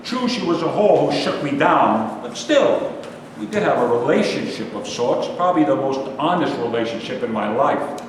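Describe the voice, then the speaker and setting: In a very echoey hall, far from the mic, an actor plays a bitter male loser in a stage play. He rants about his lot in a defensive, rueful way, with lively pitch swings. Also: moderate pace. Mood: rueful, dramatic. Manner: ranting, defensive, rueful monologue delivery. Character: bitter, self-pitying male loser recounting his failed love life. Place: live staged reading in a reverberant room. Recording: very echoey hall, distant mic, faint noise.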